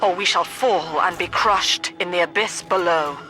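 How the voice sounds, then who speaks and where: An actress playing a cold, zealous middle-aged cult-leader mother in a video game, with a British accent and measured, preachy formal diction. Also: lowish voice, music in the background, poor audio. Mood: cold menace. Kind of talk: video game villain monologue.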